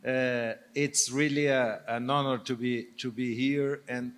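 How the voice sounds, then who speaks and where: Brief: older male panel guest, Portuguese accent, slow and hesitant, stumbles and restarts, mid-pitched voice. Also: steady tone. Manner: halting, hesitant, frequent restarts. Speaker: business leader guest. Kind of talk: panel discussion on stage.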